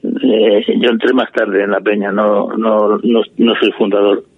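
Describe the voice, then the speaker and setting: A man's voice: fairly low pitch, level tone, measured pace, husky, dry recording, narrow, tinny audio. A man, a supporters' club president talking bluntly and plainly in a radio interview. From Madrid, Spain. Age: 80s. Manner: blunt, plain-spoken, opinionated.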